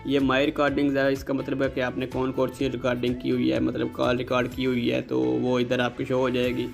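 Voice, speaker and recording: A man presents a phone app tutorial in a polite, casual way. His pitch stays fairly flat and his voice has a creaky, fried texture. Music plays faintly behind his narration. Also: brisk. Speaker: host of a tech tutorial channel.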